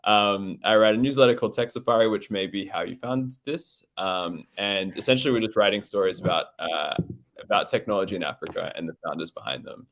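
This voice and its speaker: An Australian man hosting an online founder session, relaxed and upbeat, with a lot of "um"s. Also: on the low side, fairly quick. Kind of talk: casual live-stream chat.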